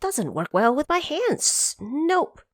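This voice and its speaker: A cocky male monkey king from a storybook audio drama, played by a woman narrator. He is boastful and playful, with a lively voice that swoops and leaps upward on his eager lines. The pacing is unhurried and drawn out. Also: no echo, heavily acted. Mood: dismissive. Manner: boastful and playful, jumps high on excited words.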